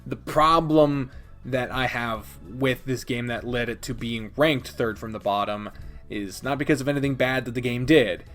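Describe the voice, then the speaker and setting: A man's voice: mid-range voice, moderate pace, fairly bright tone, soft background music. A man giving a casual, opinionated countdown review as a video host, partly scripted, with a blunt, sweary manner. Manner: sweary, blunt, chuckling asides.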